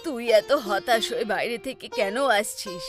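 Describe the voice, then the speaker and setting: An ailing village mother, voiced by a woman narrator, gentle and reassuring. The caring cartoon voice drops below the storyteller's pitch, over music. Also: unhurried, close to an everyday voice.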